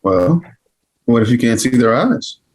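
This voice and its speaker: Man reading as a curious young boy, lively pitch. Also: measured pace, rough recording. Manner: short, inquisitive questions. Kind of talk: table read of a film script.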